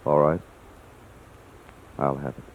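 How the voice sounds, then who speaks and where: A man's voice: very deep voice, little pitch movement, slow, gravelly, full character voice. An actor playing an earnest, troubled man on the run in a vintage radio drama. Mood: tense, resigned. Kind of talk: 1940s-50s radio drama acting. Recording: tinny, noisy old radio recording.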